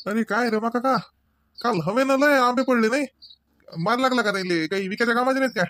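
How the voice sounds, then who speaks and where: कार्टूनमधील चटपटीत, चिडवणाऱ्या तरुण गावाकडच्या शेतकरी नवऱ्याला आवाज देणारा पुरुष, वऱ्हाडी बोलीत. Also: male voice, extremely high pitch, a little fast, fairly bright tone. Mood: surprised, teasing. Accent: rural Maharashtra, Varhadi Marathi. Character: brisk, teasing young village farmer husband. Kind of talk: comedy cartoon dialogue.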